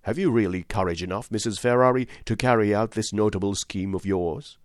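A man reading an audiobook, voicing a grave, skeptical lawyer who speaks in a dry, measured, gently ironic way. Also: lowish voice, no room sound, pitched above the performer's own voice, close to an everyday voice. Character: grave, skeptical middle-aged male lawyer. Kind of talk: audiobook reading of a classic novel.

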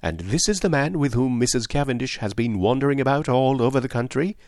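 A man as an earnest, animated gentleman sidekick. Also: mid-range voice, normal speed, no echo, clean studio sound, close to an everyday voice. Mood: indignant. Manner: naive, eager, easily puzzled. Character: Hastings, earnest, slow-witted, indignant English gentleman sidekick. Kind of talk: audiobook character dialogue.

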